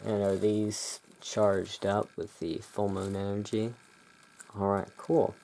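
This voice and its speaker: Soft-spoken ASMR, very low gravelly voice, gothic shopkeeper roleplay, soothing. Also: flat delivery, slow pace, slight background noise. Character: relaxed, friendly owner of a gothic curiosity emporium. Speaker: ASMR performer playing a curiosity shop owner.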